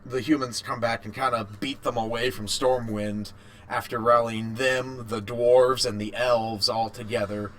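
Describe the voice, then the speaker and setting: Slow, casual man explaining as a fan. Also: medium pitch, fairly even intonation, a bit bright. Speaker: podcast co-host. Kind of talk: casual, enthusiastic fan chat.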